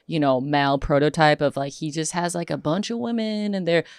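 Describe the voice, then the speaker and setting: A woman's voice: low-pitched, steady tone, a little fast, clear, resonant tone, no echo, clean studio sound. A woman in her thirties hosting a podcast, chatting casually, swearing freely and acting out quotes. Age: 30s. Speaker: podcast host. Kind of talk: casual chat.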